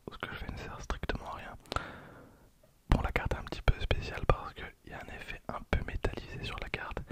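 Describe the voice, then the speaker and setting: A young man speaks entirely in a breathy, voiceless whisper, as an ASMR host would. He is close to the microphone, softly commenting in an unhurried way and often repeating his phrases. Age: 20s. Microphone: close handheld-style stereo recorder.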